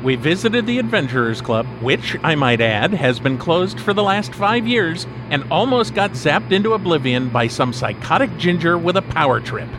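A man's voice: fairly high pitch, sing-song, moderate pace, hardly any pauses, noisy background. A man acting as a sarcastic, exasperated adventurer in an audio drama, in a casual style.

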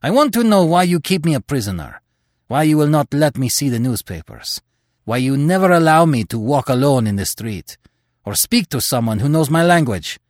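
A man's voice: medium pitch, big pitch swings, a little slow, hardly any pauses, no room sound, studio quality. A man voicing an angry, suspicious young Spanish recruit who demands answers passionately. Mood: agitated. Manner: passionate, shouting and pleading.

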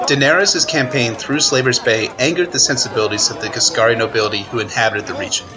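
A man acts as an analytical male correspondent in a fantasy army camp. He explains in a matter-of-fact way, with a medium-pitched voice. A clear outdoor crowd bustles behind him, as in a radio play. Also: natural voice, low-quality recording. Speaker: guest correspondent. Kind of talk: radio news report roleplay.

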